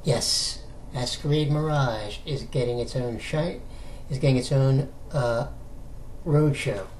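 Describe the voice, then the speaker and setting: An American man hosts a casual solo news vlog for fans. His delivery is unhurried and halting, conversational and given to rhetorical questions. His pitch sits in a middle range, and a light noise runs behind the voice. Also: a little mellow. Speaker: YouTube host giving fandom news. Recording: noticeable background noise.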